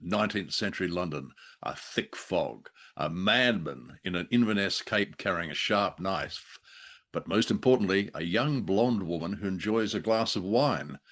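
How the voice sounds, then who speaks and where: A man's voice: low-pitched, lively intonation, normal speed, fairly bright tone. A man co-hosting a podcast, giving a playful review in conversational banter. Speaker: podcast co-host.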